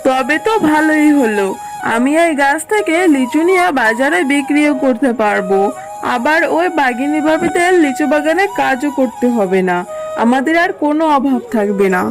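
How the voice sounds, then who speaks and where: Woman as a kind poor mama fox, high gentle voice, kids cartoon, music clearly behind. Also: animated, moderate pace. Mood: relieved. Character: humble, kindly mother fox, poor and grateful. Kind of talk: children's cartoon dubbing.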